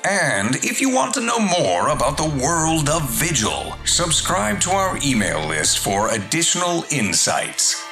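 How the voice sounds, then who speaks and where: A man's voice: on the high side, huge pitch swings, measured pace, roomy sound, poor audio. A man reading scripted podcast credits as an announcer. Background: music.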